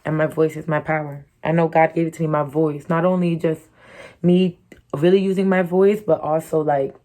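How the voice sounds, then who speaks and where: A young American woman from Connecticut talks straight to her viewers in a loose storytime. Her voice sits slightly low, with her own hard-to-place accent. She is blunt and profane, and her talk is strung together with "like" and "you know". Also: fairly even intonation, moderate pace, warm tone. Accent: her own distinctive American accent. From Connecticut, USA. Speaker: YouTuber. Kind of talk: candid storytime monologue.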